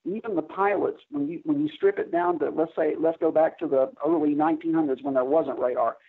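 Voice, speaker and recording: A man explaining technical matters conversationally as an interview guest, halting and often restarting his words. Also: a little high, expressive, gravelly, breathy edge. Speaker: interview guest, air traffic controller. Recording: narrow, tinny phone-like line.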